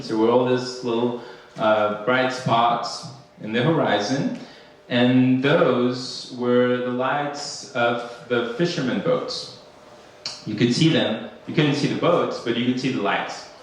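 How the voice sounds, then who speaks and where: Man from Ecuador, academic speaker, halting with fillers, distant mic, faint room hum. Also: medium pitch. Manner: hesitant, many fillers and restarts. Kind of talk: conference talk. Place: conference room. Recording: echoey hall, speaker far from mic.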